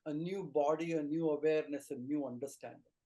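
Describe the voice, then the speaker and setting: A man's voice: fairly high pitch, fairly even intonation, a little fast, mellow, low-quality recording. A middle-aged man with an Indian accent, an Ayurvedic teacher explaining earnestly as an interview guest, building his points in short phrases and lists. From rural India.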